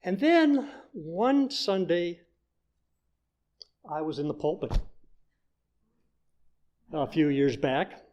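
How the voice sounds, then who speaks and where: An older man giving a prepared personal talk to a church congregation, telling his story in a measured way as a fellow member. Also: a little high, lively intonation, darkish tone.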